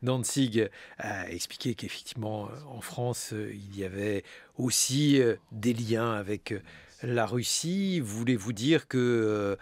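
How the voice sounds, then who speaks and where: A man hosting a radio interview. He asks long, hesitant questions padded with filler sounds. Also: medium pitch. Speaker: radio host. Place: radio studio. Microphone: studio microphone.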